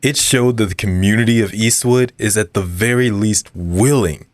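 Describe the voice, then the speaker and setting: A man playing a calm, earnest community activist being interviewed in an audio drama, speaking in a measured way. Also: lowish voice, no room sound, natural voice. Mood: resolute. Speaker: radio show guest.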